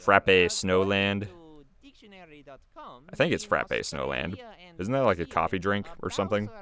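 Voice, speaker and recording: Animated man chatting over commentary. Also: sits low, measured pace. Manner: easily amused, chatty. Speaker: animator and voice actor giving commentary. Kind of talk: casual, rambling commentary.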